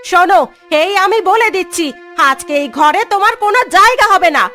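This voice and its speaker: A woman playing a sharp-tongued, nagging, angry wife of a poor fisherman in a cartoon, scolding and bossy. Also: very high-pitched, animated, a little fast, heavily acted. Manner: scolding, commanding. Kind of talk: cartoon dialogue. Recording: music behind the voice.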